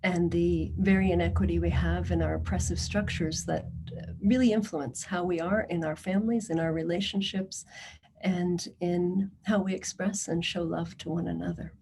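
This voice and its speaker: A woman giving a prepared address to a committee as a social services presenter. She speaks in a measured, earnest way and reads testimonies in the first person. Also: fairly low pitch, steady tone, noisy background.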